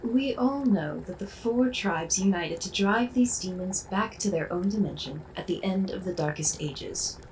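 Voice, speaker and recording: A woman's voice: mid-range voice, expressive, measured pace, few pauses, darkish tone, slight background noise, lightly acted, low-quality recording. A woman playing an earnest, insistent pupil in a fantasy audio drama.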